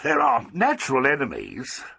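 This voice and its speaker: A male reader voicing a grumpy, embarrassed husband who mutters low. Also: wildly swooping pitch, moderate pace, creaky, sharp tone, husky, no room sound, full character voice. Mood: grumbling.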